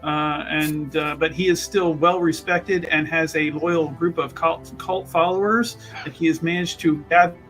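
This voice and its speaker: A man with a voice a bit higher than most chats as a tabletop player. His phrasing is wordy and playfully formal, and he hesitates often as he builds each sentence. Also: fairly even intonation, a little slow, hardly any pauses, a bit bright, faint music behind, low-quality recording. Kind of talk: casual character introduction.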